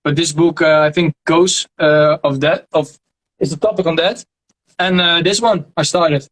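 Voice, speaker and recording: A young Dutch man speaking English as a second language, a guest in a casual interview, often pausing to search for words. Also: on the high side, steady tone, a bit bright, poor audio. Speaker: guest, history video creator. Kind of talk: casual video-call interview.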